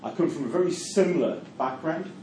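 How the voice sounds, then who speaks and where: A man's voice: fairly high pitch, brisk, mellow. Geordie man lecturing in an echoey room. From Newcastle, England. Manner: hesitant, repeats words, many fillers. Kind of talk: university lecture with class discussion. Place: classroom. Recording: echoey room from far off, faint noise.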